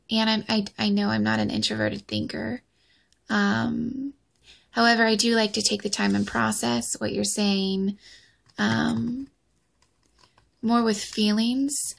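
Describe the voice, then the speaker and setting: A young woman with a light, fry-edged voice, speaking slowly into her phone camera. She talks in a halting, thoughtful way, pausing and restarting often. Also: mid-range voice. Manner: hesitant, many ums and restarts. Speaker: vlogger. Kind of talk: casual personal vlog.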